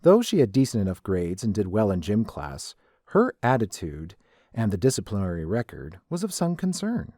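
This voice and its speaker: A man's voice: fairly low pitch, huge pitch swings, normal speed, dark tone, dry recording, clean studio sound. A man narrating a scripted story.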